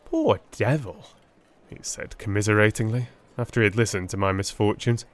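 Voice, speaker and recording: A man's voice: on the low side, steady tone, fast, a bit bright. A man reading scripted first-person narration of a Victorian story in a dramatic audiobook style.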